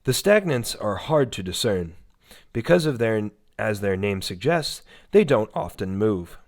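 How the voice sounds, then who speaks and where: A man's voice: fairly low pitch, very animated, unhurried. A man voicing an impersonal instructional warning tape in a horror story, formal and clinical.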